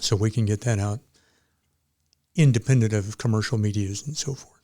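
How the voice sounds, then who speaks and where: A man speaking as a club member and guest in a casual interview, explaining things in a measured way. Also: lowish voice, mellow, dry recording, clean studio sound.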